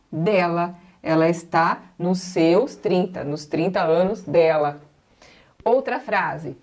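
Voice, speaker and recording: A Brazilian woman in her forties with a Brazilian Portuguese accent, an English teacher patiently explaining and slowly repeating each example. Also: lowish voice, darkish tone. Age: 40s. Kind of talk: classroom-style explanation.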